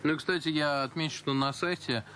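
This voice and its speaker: A man hosting a live radio show, taking calls and making announcements in a relaxed, wry manner. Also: a little high, fairly quick, noisy background. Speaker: radio host.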